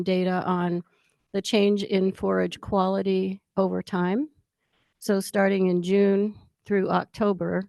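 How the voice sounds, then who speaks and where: A woman with a somewhat low voice explains her field research to colleagues. She speaks casually and pauses on fillers. The recording is dry, from a handheld mic in a meeting room. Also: darkish tone, no echo. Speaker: plant researcher. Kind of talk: meeting discussion. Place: conference room.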